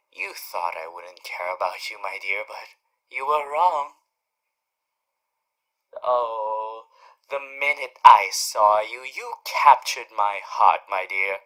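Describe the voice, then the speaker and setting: A performer voicing a doting, teasing male radio demon in an ASMR roleplay, speaking in a theatrical, courtly way with drawn-out emphasis. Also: medium pitch, sharp tone, rough recording. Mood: tender, smug. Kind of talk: ASMR character roleplay to the listener.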